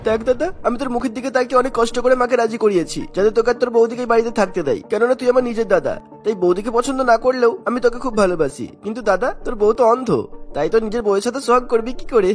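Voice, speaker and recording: A man's voice: extremely high pitch, very fast, faint music behind. A man voicing an earnest young son in a cartoon family melodrama, pleading and insistent. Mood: teasing. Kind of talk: Bengali cartoon melodrama voice acting.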